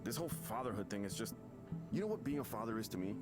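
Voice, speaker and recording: Casting: male actor as aggrieved father-to-be for a visual novel, stammering, indignant, very lively pitch, over clear music. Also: quick pace, lightly acted. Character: aggrieved, defensive expectant father, self-absorbed, short-tempered. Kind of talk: video game voice acting, confessional monologue. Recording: music behind the voice.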